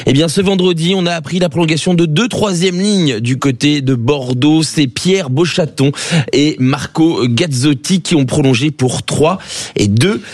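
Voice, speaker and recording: A man's voice: on the high side, moderate pace. A man delivering rugby transfer and match news on live radio, then reading out a contest promotion.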